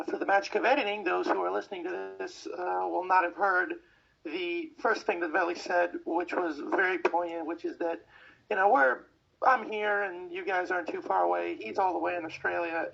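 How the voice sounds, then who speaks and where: A man on a podcast panel talking casually after a game, despondent and earnest, with a gravelly, husky voice. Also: high-pitched, fairly even intonation, moderate pace, a bit bright, muffled recording. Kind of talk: casual post-game talk.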